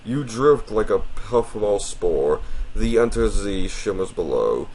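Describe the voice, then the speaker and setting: A man with a deep voice hosting a let's play, chatting casually and reading game text aloud in a polite, apologetic way that addresses the viewer formally. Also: very animated, slow, darkish tone, slight background noise.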